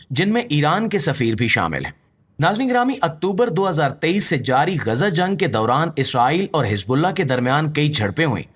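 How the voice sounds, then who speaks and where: A man reading a scripted news explainer in a formal manner, addressing viewers directly. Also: fairly high pitch. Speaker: news explainer video narrator.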